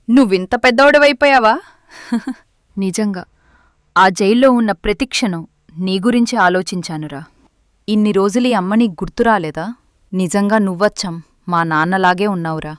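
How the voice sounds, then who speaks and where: A woman's voice: mid-range voice, moderate pace, pauses often, no echo, clean studio sound, lightly acted. A woman voicing a remorseful, doting mother fresh from prison in a melodramatic moral story, speaking earnestly and with emotion. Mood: tender, joyful. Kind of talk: melodramatic Telugu moral-story cartoon voice acting.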